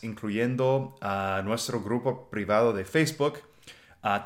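A man with an American accent hosting a casual podcast in Spanish, relaxed and quick to laugh. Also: mid-range voice. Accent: American English. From United States. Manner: relaxed, unhurried, laughs easily. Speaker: podcast host. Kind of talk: casual chat.

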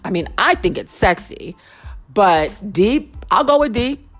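A young woman hosting her own podcast, talking casually and earnestly, with a naturally low-toned voice and a habit of repeating phrases for emphasis. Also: big pitch swings, measured pace, faint music behind. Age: young adult. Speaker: podcast host. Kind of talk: casual heartfelt monologue.

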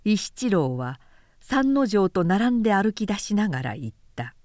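Unhurried, expressive woman narrator. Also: mid-range voice, no echo, studio quality. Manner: measured, expressive storytelling. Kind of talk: scripted literary narration.

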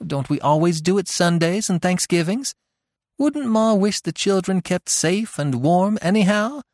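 A man's voice: on the high side, sing-song, normal speed, dry recording, clean studio sound, voice pushed up. A man voicing a bossy, spirited young farm girl who takes charge of the kitchen. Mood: persuasive. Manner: commanding, spirited.

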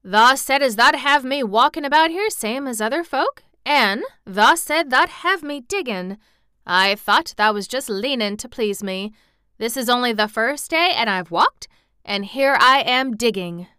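A woman voicing an imperious, excitable young boy in an audiobook, giving orders with decision. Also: on the high side, normal speed, clear, resonant tone, no room sound, studio quality. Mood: exultant. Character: imperious, eager young boy, a little master.

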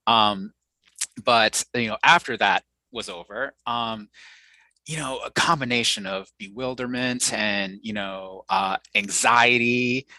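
A man's voice: mid-range voice, takes it slowly, sharp tone, no room sound. A young American man, a researcher guest in an interview, speaking thoughtfully with frequent fillers and restarts. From United States. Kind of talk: conversational interview.

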